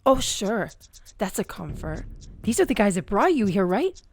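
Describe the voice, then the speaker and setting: Sarcastic, lively-pitched captive woman. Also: normal speed, faint music behind. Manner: biting sarcasm, challenging questions. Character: bitter, sarcastic female captive, suspicious and confrontational. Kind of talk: radio play acting.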